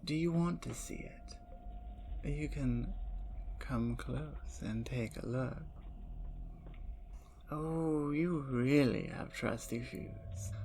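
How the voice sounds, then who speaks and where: A woman voicing a gravelly, teasing vampire. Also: deep voice, slight background noise, heavily acted. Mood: playful. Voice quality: soft whispers. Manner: teasing, taunting. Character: playful, menacing captured female vampire. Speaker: ASMR roleplay performer. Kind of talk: ASMR roleplay.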